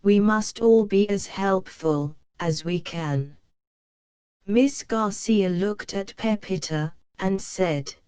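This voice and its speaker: Slow, clear woman reading a storybook. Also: medium pitch, darkish tone, no room sound. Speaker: storybook reader. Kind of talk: slow read-aloud for young learners.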